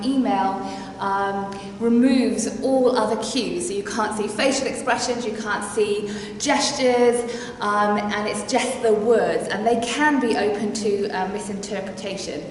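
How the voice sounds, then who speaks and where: A woman presenting a workshop to parents, talking hesitantly with many filler sounds. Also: fairly high pitch. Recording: echoey hall, distant mic, faint noise.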